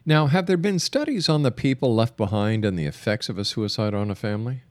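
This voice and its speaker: A man hosting a radio interview, framing questions haltingly and repeating words as he goes. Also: mid-range voice, animated, a little mellow, no room sound, studio quality. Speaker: radio show host.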